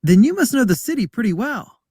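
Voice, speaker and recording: A man's voice: extremely high pitch, sing-song, fairly quick, darkish tone, slightly rough. A young man asking questions in a scripted conversation for English learners. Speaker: speaker in a recorded dialogue. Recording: distant with background music.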